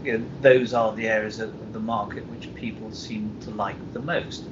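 A low-pitched man talks publishing in an informal panel setting. He often repeats words and restarts phrases. The sound is dull and lo-fi, with a faint rumble underneath. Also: level tone, moderate pace. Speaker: publisher, podcast guest. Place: live convention recording over internet call. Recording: muffled lo-fi internet call with rumbling noise.

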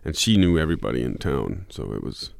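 Man, very deep smooth voice, rambling musician guest, dry close sound. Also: sing-song, fast talker, a little mellow, dry recording. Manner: rambling, many restarts and fillers. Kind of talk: relaxed interview answers.

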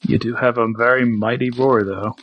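A man's voice: mid-range voice, fairly even intonation, moderate pace, lo-fi sound, a little hiss or hum, lightly acted. A man playing a joking, explosives-loving teammate in an audio drama, with a casual, wisecracking manner.

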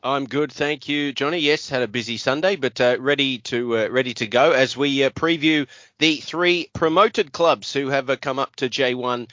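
A man's voice: mid-range voice, moderate pace, a bit bright, dry recording, lo-fi sound. A man hosting a podcast, chatting casually with frequent "uh" fillers. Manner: hesitant, many "uh" fillers. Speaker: podcast host.

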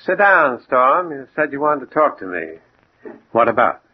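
An actor playing a tough, guarded American gang boss, curt and sardonic, in 1940s radio crime drama style. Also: male voice, mid-range voice, big pitch swings, a little slow, breathy edge. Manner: curt, hard-boiled, sardonic. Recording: tinny, narrow old radio recording.

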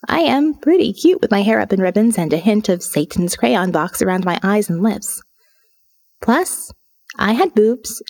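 A woman reading an audio novel as a sardonic, restless young woman diarist, wry and confiding, with dramatic pauses. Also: fairly high pitch, a little mellow. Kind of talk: dramatic first-person audio fiction narration.

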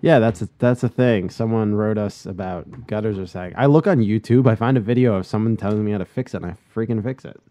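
A man's voice: on the low side, quick pace, hardly any pauses, warm tone, dry recording. A man in his thirties with a nasal voice, stuffed up with a cold, bantering casually as a podcast host. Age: 30s. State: congested with a cold.

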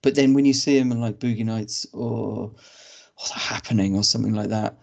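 Welsh-raised male podcast co-host, relaxed with dry humor, gravelly voice. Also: lowish voice, normal speed, dull audio. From Wales. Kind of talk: casual chat.

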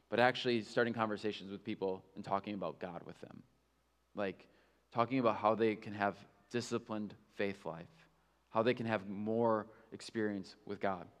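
A man's voice: medium pitch, clear, resonant tone, long reverb tail. A male pastor preaching a sermon in a candid, conversational way, with self-mocking asides.

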